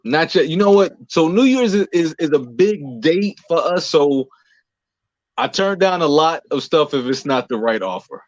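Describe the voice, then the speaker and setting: A man's voice: a little high, lively intonation, moderate pace, no room sound. Man chatting casually, dry muffled audio. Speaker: interview guest, performer and writer. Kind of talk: casual chat, answering interview questions.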